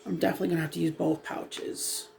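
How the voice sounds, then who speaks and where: A woman's voice: fairly low pitch, little pitch movement, fast, creaky, darkish tone, a little hiss or hum. A woman vlogging casually about dyeing her hair, hesitant and rambling with many ums, sniffly and a little froggy from a lingering cough. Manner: hesitant, rambling, full of ums, self-apologizing. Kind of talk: casual chat while doing hair.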